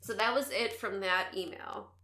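A woman's voice: mid-range voice, normal speed, sharp tone, reverberant. A woman with a Wisconsin accent, tipsy and chatting loosely as a podcast co-host, rambling and swearing freely. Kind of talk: casual chat.

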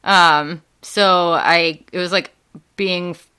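A young woman with a Wisconsin accent talks as a podcast guest. Her voice is bright and smooth and sits a little low. She rambles easily, with fillers and laughs between thoughts. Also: steady tone, takes it slowly. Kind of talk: casual chat.

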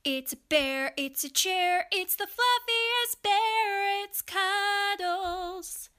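Woman doing chirpy sing-song toy ad voice, super perky, high. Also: drawn-out words, pushed and bright, faint music behind, heavily acted. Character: chirpy female toy commercial announcer selling teddy bear.

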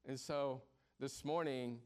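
A man's voice: mid-range voice, steady tone, slow, a little hiss or hum. Joking, conversational pastor in his forties. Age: 40s. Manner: repeats words on restarts. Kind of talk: sermon.

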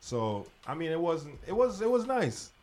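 A man on a casual podcast, speaking in a low-key, hesitant way with many fillers. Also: big pitch swings, normal speed. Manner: low-key, hesitant, lots of "you know". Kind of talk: casual chat.

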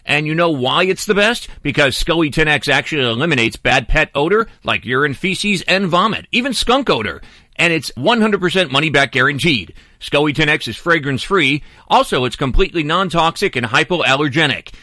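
A man's voice: fairly high pitch, expressive, normal speed, sharp tone, no echo, clean studio sound. A man in his fifties hosting a pet call-in radio show, chatty and jokey, also reading his own ad. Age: 50s. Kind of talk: radio call-in talk and read ad.

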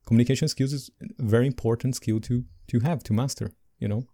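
Mellow-voiced man, non-native English speaker. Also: medium pitch, quick pace. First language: not English. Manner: animated, laughs between points. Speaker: podcast co-host. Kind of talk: casual podcast chat.

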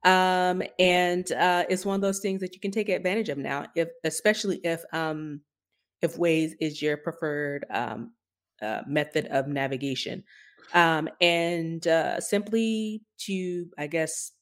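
A woman hosting a tech podcast, explaining things casually in a measured way with pauses. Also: fairly low pitch, steady tone. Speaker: podcast host.

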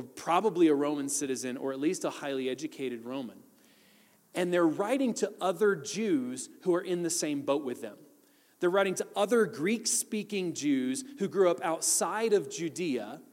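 A man's voice: fairly high pitch, a little mellow. A man preaching a sermon, explaining scripture earnestly and building to emphatic appeals. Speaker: pastor. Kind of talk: sermon teaching on Hebrews. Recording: long hall reverb.

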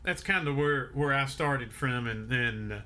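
A man hosting a podcast, telling a story in a relaxed way with many fillers, his voice a bit deeper than usual. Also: a little slow, slight background noise. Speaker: podcast host. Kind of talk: casual storytelling chat.

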